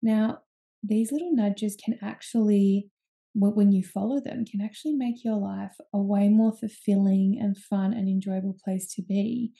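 A woman's voice: medium pitch, steady tone, normal speed, creaky, warm tone. A young woman hosts her own podcast, talking casually and candidly with frequent ums and restarts. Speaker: podcast host. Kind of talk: casual solo podcast talk.

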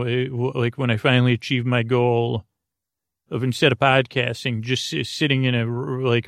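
Male bedtime podcast host, mid-pitched with heavy vocal fry, rambling, trailing off, gently unhurried. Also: no echo, clean studio sound. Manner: meandering, halting, repeats and trails off.

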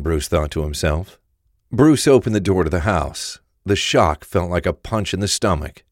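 A male narrator tells a scripted crime story. His voice is very low, and the recording is close and free of echo.

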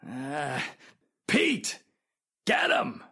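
A man playing a rough, dim crook in a 1940s style radio drama, with slangy, ungrammatical street talk. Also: on the high side, wildly swooping pitch, drawn-out words, pushed and bright, full character voice. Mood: alarmed.

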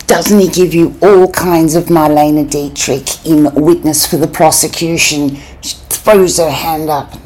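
Unhurried woman hosting a podcast. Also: low voice, steady tone, a little mellow, low-quality recording. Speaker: podcast host. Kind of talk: casual podcast sign-off and commentary.

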